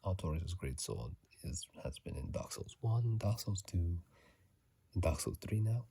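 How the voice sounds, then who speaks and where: A man doing whispered ASMR commentary on a video game, soft and breathy, musing in a halting, casual way, close to a phone mic. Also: sits low, big pitch swings, no echo. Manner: halting, musing, unhurried delivery. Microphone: close phone microphone.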